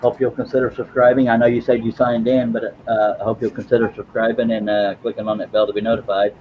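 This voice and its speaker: A man's voice: mid-range voice, level tone, fast, narrow, tinny audio, a little hiss or hum. A man hosting a woodworking live stream, chatting casually as he works, rambling and self-deprecating, often repeating his words. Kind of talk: casual live-stream chat while working.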